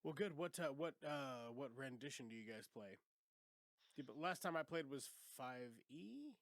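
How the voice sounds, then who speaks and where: A man's voice: medium pitch, expressive, normal speed, no echo. A young man hosting a podcast in loose, profane casual chat, sounding congested. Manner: loose, profane, laughs often. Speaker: podcast host.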